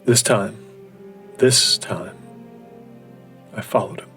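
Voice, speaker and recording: A man playing a solitary seeker, recounting an eerie night search in a measured, hesitant way for a horror audio drama. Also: on the low side, creaky, a bit bright, full character voice. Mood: haunted, intent. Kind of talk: first-person horror audio drama monologue. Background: faint music.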